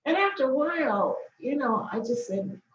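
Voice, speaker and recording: An older woman from Boston being interviewed about her career, speaking thoughtfully with many pauses and restarts. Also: high-pitched, animated. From Boston, Massachusetts. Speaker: guest, business founder. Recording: distant, dull, echoey room with faint noise.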